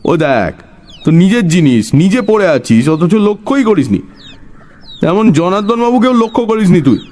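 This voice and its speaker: Man doing an eccentric village crank, pitched high. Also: big pitch swings, normal speed, darkish tone. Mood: probing, impatient. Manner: emphatic, quizzing, teasing. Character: eccentric, half-crazy poor village man, cryptic and knowing. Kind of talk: dramatised storytelling.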